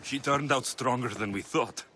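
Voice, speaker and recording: An actor playing a young Spartan mercenary in a video game, earnest, wry and battle-hardened. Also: male voice, mid-range voice, normal speed, bright, forceful tone, slight background noise, natural voice. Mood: fond, wry. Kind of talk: dramatic video game cutscene acting.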